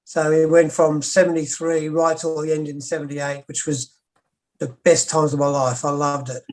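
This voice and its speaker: An older Australian man, a former pro wrestler, recounting his past as an interview guest in a steady, matter-of-fact way. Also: on the high side, moderate pace. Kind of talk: interview storytelling.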